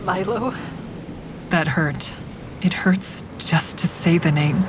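Woman narrating as a guarded, grieving heroine. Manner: measured, introspective narration. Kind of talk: first-person audio drama narration. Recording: constant vehicle rumble behind.

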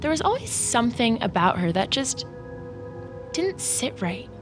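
A woman's voice: fairly high pitch, big pitch swings, measured pace. An actress playing a catty, gossipy mean-girl woman with a snide, slangy drawl in an audio drama. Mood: mocking. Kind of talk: audio fiction drama acting. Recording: music clearly underneath.

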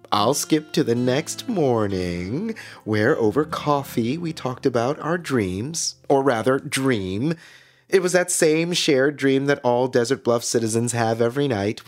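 Eerily cheerful, swooping radio host. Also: male voice, medium pitch. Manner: theatrical, smiling, deliberately drawn-out. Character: relentlessly cheerful, eerie small-town male radio host. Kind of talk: scripted radio-play monologue. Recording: music behind the voice.

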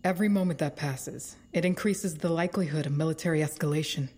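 An actress playing a skeptical, principled and analytical woman in an audio drama, with a husky, soft-edged voice. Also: low-pitched, quick pace. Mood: skeptical, pressing.

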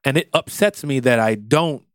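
A man's voice: mid-range voice, moderate pace, dry recording, clean studio sound. A man co-hosting a casual podcast, talking earnestly and acting out quoted voices. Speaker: podcast co-host.

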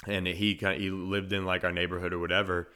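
A man with a naturally deep voice, hosting a solo sports podcast in a conversational, analytical way, often restarting his phrases. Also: level tone, quick pace, pushed and bright, clear, resonant tone. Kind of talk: solo podcast commentary and storytelling.

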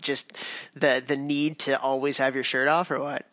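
Upbeat podcast host, bright voice. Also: male voice, medium pitch, flat delivery, normal speed, no room sound. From went to Cornell. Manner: upbeat, laughs often. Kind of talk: casual podcast chat.

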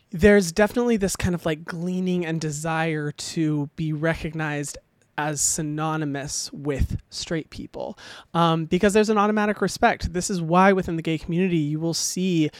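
A young man with a high voice, hosting a podcast and giving a casual, animated opinion monologue full of ums and little laughs. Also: normal speed, smooth, no room sound. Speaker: podcast host.

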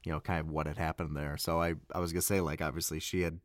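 A man's voice: extremely low pitch, little pitch movement, quick pace, no room sound, clean studio sound. A man chatting informally as a guest in a casual cast interview. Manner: informal, conversational. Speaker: voice actor, guest.

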